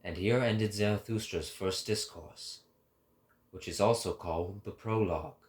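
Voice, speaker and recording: A man giving a dramatic literary narration in a hushed, grave and measured way. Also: lowish voice.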